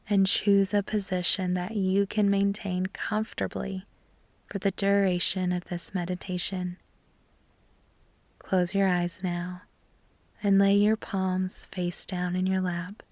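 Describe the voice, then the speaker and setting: Slow, whispery woman guiding a meditation. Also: mid-range voice, a little mellow, no echo. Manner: soothing, measured, instructing. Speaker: meditation guide. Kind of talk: scripted guided meditation.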